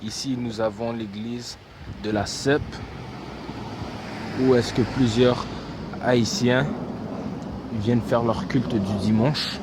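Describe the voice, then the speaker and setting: Slow male presenter in loud wind noise. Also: mid-range voice, steady tone. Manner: slow, measured. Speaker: on-location presenter. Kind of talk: presenting outdoors.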